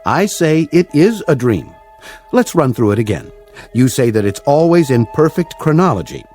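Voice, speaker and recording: An actor playing a smooth, condescending middle-aged doctor in a radio drama, formal and measured. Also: male voice, medium pitch, sing-song, darkish tone, faint music behind, natural voice. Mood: firm, skeptical. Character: smooth, patronizing older male hospital doctor. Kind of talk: old-time radio drama acting.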